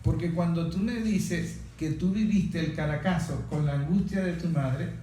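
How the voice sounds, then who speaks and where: A man in a reflective political discussion as interviewer, speaking haltingly with repeated words and rhetorical questions. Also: fairly high pitch, dark tone. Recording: very echoey room, distant mic, faint noise.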